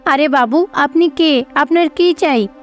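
A woman's voice: sits high, slow. A woman voicing an impatient older village wife in a cartoon, nagging and blunt. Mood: curt questioning. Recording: faint music behind.

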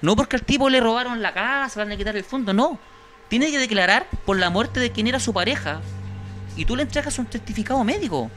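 A man's voice: very high voice, animated, a little fast, a bit bright, soft background music. A man in his thirties with a Chilean accent, a guest in a studio interview, talking insistently and indignantly, often repeating words as he searches, into a handheld mic. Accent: Chilean Spanish. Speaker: guest, victim's brother. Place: small indoor studio.

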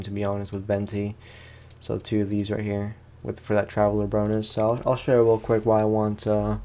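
A man's voice: lowish voice, vocal fry, darkish tone. A man giving casual let's play commentary as a gaming host, in a rambling, slangy, self-deprecating way.

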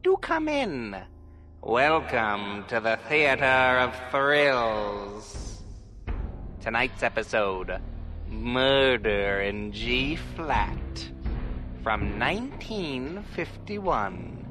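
A man's voice: mid-range voice, big pitch swings, extremely slow, sharp tone, faint music behind. A man hosting a show with a theatrical, mock-ominous scripted introduction. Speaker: podcast host.

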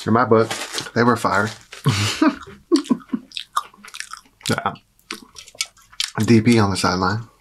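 A man in his forties with a raspy, slightly hoarse voice, chatting casually while eating a meal on camera. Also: lowish voice, fairly even intonation, takes it slowly, pauses often, background noise. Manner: chatty, eats and talks with mouth full. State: hoarse from a cold. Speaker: food vlogger. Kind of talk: casual mukbang chat. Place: dark room at a table.

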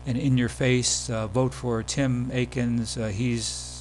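A man giving a casual, step-by-step software tutorial as the presenter of a screen demo. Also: mid-range voice, little pitch movement, slow pace. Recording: background hum and noise.

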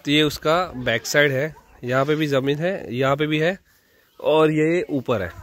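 A man's voice: on the high side, measured pace. A man in his forties filming a casual family vlog on a phone at arm's length, explaining things as he goes. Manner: explaining, chatty, pointing things out. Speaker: vlogger, father. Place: roadside outdoors by a highway. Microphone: phone held at arm's length. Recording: traffic and outdoor noise.

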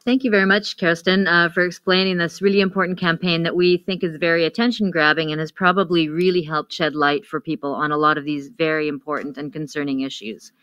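A woman's voice: low voice, steady tone, fairly quick, hardly any pauses, a bit bright, no room sound, muffled recording. A woman moderating a panel, polite and formal as she opens the questions.

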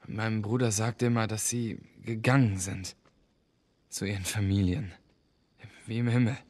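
A man voicing an anxious, withdrawn young boy in a German video game dub, subdued and guarded, with a husky voice. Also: fairly low pitch, fairly even intonation, unhurried, a little mellow. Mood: anxious, brooding. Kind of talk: German video game dubbing, naturalistic dialogue.

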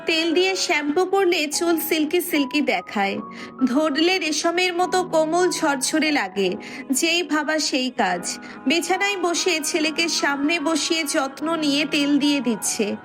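A woman's voice: sits high, animated, unhurried, over music. A woman reading a scripted story as its narrator.

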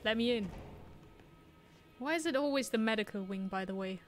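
A young woman with a German accent chats through a video game stream, laughing often and reading out viewer questions. Her voice sits on the higher side, with a faint hiss under it. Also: normal speed. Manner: chatty, laughs often, reads chat aloud. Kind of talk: casual gameplay commentary.

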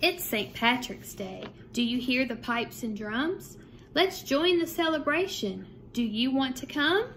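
A woman speaks like a primary teacher reading to young children. Her phrasing is measured and simple. She is recorded indoors at home, with some background noise. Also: high voice, lively intonation, a bit bright. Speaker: second grade teacher. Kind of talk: children's read-aloud. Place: small room at home, indoors. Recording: clear background room noise.

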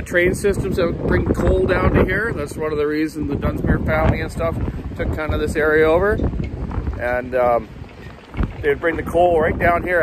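A man in his fifties, a chainsaw shop owner, rambling in a folksy way with many fillers while he vlogs outdoors on his phone. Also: on the high side. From Canada. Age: 50s. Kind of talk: casual walking vlog. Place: windy seaside park outdoors. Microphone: phone camera at arm's length. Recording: loud wind noise on the phone mic. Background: gusting wind.

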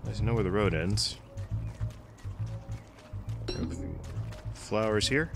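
A man's voice: lowish voice, slow, creaky. A man casually commenting while playing a game, a bit congested from a cold and muttering to himself as he goes. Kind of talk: casual let's-play commentary. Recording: voice with loud background music. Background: background music.

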